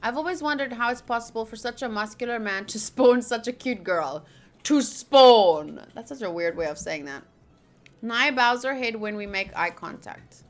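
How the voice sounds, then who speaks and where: A woman's voice: a little high, expressive, normal speed. A woman streaming a game, reading its text aloud and chatting casually with playful asides.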